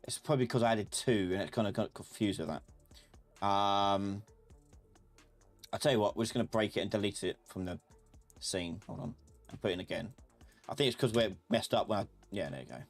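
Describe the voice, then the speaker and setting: A man with a fairly low voice leads a live software class in an informal way. He explains each step while he works, often muttering his thoughts aloud. Soft music plays behind him along with keyboard typing. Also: fairly quick, pauses often. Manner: informal, thinking aloud, talking to himself. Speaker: instructor of a live online class.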